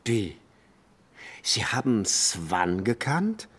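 An actor in a German radio play voicing a naive, slightly fussy scholar with a hesitant, inquisitive way of talking. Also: male voice, lowish voice, sing-song, extremely slow, fairly bright tone, husky, full character voice. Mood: astonished.

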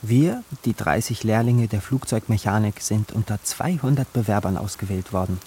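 A man's voice: on the low side, moderate pace, mellow, slightly rough. An actor playing a poor young man who narrates his own story in a radio drama, introspective and yearning. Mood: detached narrating. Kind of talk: literary first-person narration in radio drama.

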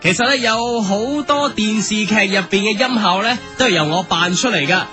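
A man's voice: sits high, a little fast, music in the background, lightly acted. A man playing a boastful, chatty sound-effects performer in a comic Hong Kong Cantonese radio sketch. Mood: chirpy, boastful. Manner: chatty, self-promoting. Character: boastful, chirpy male TV sound-effects performer greeting fans.

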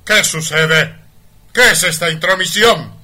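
Callous mine boss, pompous, blustering, piercingly bright, somewhat high voice, radio play comedy. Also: male voice, animated, measured pace, exaggerated voice. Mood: indignant. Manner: imperious, dismissive, blustering. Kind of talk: comic radio play acting.

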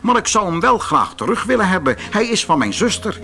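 Man voicing a polite, high-pitched, coaxing nobleman. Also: sing-song, brisk, sharp tone, full character voice. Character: wizard disguised as a handsome, smooth-talking young nobleman. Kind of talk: fairy tale audiobook dialogue.

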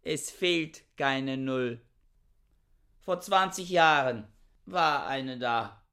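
Stiff bookish scholar husband in a radio play, slow insistent talk, creaky. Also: male voice, medium pitch, pushed and bright, heavily acted. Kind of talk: literary radio play acting.

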